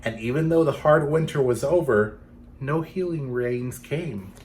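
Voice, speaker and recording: A man's voice: mid-range voice, measured pace, a little mellow, a little hiss or hum. A man reading a picture book to children, playfully addressing young listeners as he goes. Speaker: storytime reader for children.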